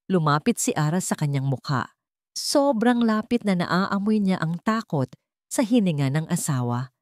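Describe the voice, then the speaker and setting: A woman's voice: lowish voice, darkish tone, dry recording, clean studio sound. A woman reading a dramatic scripted audiobook story as its narrator.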